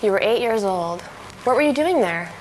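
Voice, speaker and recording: A woman's voice: medium pitch, lively intonation, normal speed, slight background noise, poor audio. A woman reading the questioner's part in a scripted practice dialogue, curious and questioning. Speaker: dialogue reader asking the questions.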